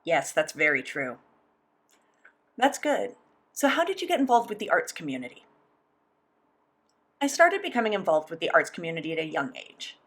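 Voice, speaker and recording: A woman voicing a sign language interview as interpreter, speaking in a measured, steady first-person delivery. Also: on the low side.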